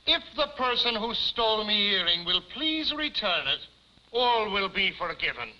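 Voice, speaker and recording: A man's voice: sits high, very animated, moderate pace, sharp tone, a little hiss or hum, exaggerated voice. A man playing a blustering, hard-boiled American police chief in a 1950s television comedy, emphatic and officious but formally courteous. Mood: indignant, firm. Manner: emphatic, officious, addresses woman formally as ma'am. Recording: muffled 1950s television soundtrack.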